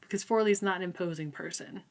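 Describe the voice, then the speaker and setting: A woman's voice: on the low side, fast talker. A woman talking casually and conversationally about a book as a book club co-host. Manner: informal, conversational.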